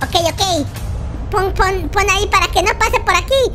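A performer voicing an excitable cartoon baby adventurer with a squeaky childlike voice, playing through a Minecraft roleplay for children. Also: normal speed, loud music behind the voice. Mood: taunting. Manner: excitable, repeats phrases. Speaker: host of a children's gaming channel.

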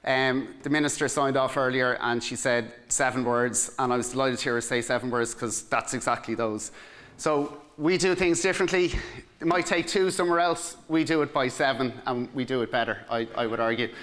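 A man's voice: medium pitch, a little fast. A man in his forties with an Irish accent, giving the closing words of a talk on a conference stage. He talks with his hands and often starts with "look" or repeats a word before going on. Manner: animated, gesturing, keeps saying "look" and restarting words. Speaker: conference speaker. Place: stage of a large conference hall.

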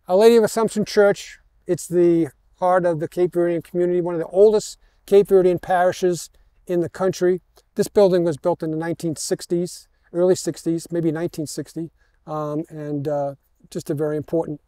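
Older male tour guide, American. Higher-pitched, relaxed tone, halting storyteller, headset mic outdoors with no echo. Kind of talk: informal guided history talk. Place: outdoors in an open parking lot.

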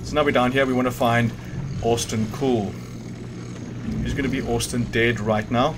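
A man's voice: medium pitch, steady tone, moderate pace, noisy background. A man giving casual, informal walkthrough commentary on a video game, thinking aloud and correcting himself as he goes. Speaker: gamer hosting a video game guide. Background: video game music and sounds.

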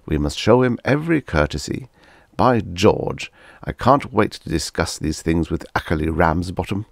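Man voicing an excitable, pompous professor, widely swooping pitch. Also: moderate pace, gravelly, dry recording, clean studio sound. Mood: enthusiastic. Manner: exclamatory, wondering, pompous. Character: excitable, pompous middle-aged male professor, rhapsodizing. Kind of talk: storybook character reading.

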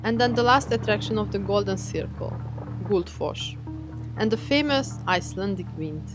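Young woman, steady travel vlog narrator, middle-pitched voice, faint music bed. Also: moderate pace. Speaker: travel vlogger.

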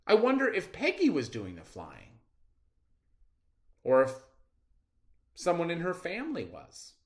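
Slow, very animated male teacher. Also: on the high side. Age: middle-aged. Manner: deliberate, expressive, explanatory. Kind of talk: read-aloud reading lesson for children.

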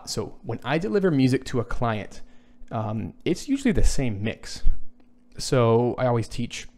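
A man in his thirties with a low voice, a music composer answering viewer questions in a casual livestream from his small home studio, thinking aloud with frequent fillers and restarts. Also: a little mellow. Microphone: boom studio microphone, headphones on.

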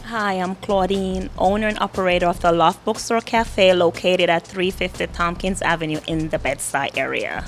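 A woman in her thirties from Jamaica, owner of a bookstore, speaking in a relaxed, hesitant way into a handheld mic. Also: on the low side, moderate pace, hardly any pauses, faint music behind. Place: bookstore cafe indoors. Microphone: handheld mic held by host.

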